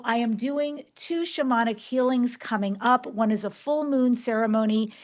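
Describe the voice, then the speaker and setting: Higher-voiced woman hosting a podcast. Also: a little mellow. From New York, United States. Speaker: podcast host. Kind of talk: podcast hosting, announcements and interviewing.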